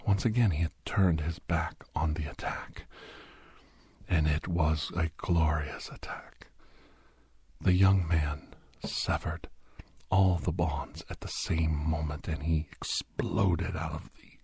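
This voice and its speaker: Man playing a grim doctor in husky, low whispers. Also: fairly even intonation, dry recording, heavily acted. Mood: grim, awed. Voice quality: breathy, husky near-whisper. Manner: hushed, halting, dramatic delivery. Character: grim doctor recounting a bloody werewolf rescue. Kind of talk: whispered ASMR horror storytelling.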